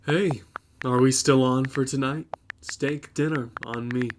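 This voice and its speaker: A young man playing a laid-back, sweet college guy in an audio drama, talking casually and low-key. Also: steady tone, a little slow, background noise, natural voice. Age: young adult.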